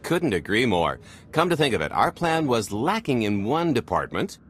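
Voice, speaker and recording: An actor playing a cocky, wisecracking space smuggler in a video game cutscene, breezy and sardonic. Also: male voice, mid-range voice, sing-song, moderate pace, soft background music, natural voice. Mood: breezy, amused. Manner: breezy, sardonic, charming swagger.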